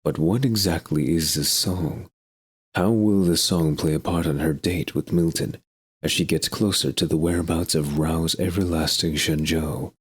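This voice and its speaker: A man's voice: very deep voice, steady tone, normal speed, husky. A man narrating a serialized audio drama from a script.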